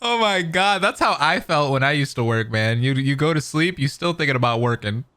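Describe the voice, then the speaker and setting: A man's voice: a little high, expressive, fairly quick, bright, forceful tone. A man streaming a game and making casual, joking reaction comments. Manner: joking, laid-back, says "bro".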